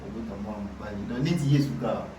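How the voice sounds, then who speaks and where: A man from Kinshasa, talking hesitantly as a guest musician in an interview. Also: lowish voice. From Kinshasa, Congo. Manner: hesitant, halting, many fillers. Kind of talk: casual interview talk. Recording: distant echoey noisy remote line.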